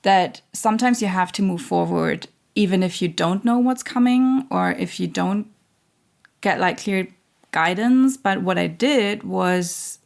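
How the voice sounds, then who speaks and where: A woman hosting her own spiritual channel, talking in a slow, searching way with many fillers and restarts while losing her voice. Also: medium pitch, vocal fry, darkish tone. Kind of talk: reflective spiritual monologue.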